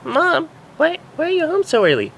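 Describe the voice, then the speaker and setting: A playful young unicorn filly in a story reading. The voice is a squeaky, childish falsetto, extremely high and bouncing up and down, with faint noise behind it. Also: male voice, huge pitch swings, moderate pace, fairly bright tone, exaggerated voice. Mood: cheerful.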